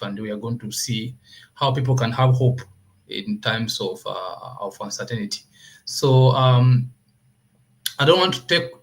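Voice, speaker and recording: A man with a Kenyan accent hosts an informal online interview series. His pitch sits in the middle of the male range. He speaks haltingly, often repeating words as he introduces and questions. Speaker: host of an online talk series.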